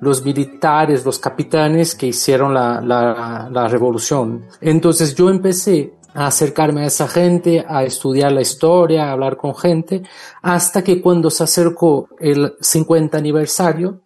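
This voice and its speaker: A man's voice: on the high side, unhurried, faint music behind. A Brazilian man in his forties, a native Portuguese speaker and journalist, talking steadily and thoughtfully as an interview guest over a remote connection. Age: 40s. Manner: thoughtful, steady, with small restarts and fillers. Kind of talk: interview conversation.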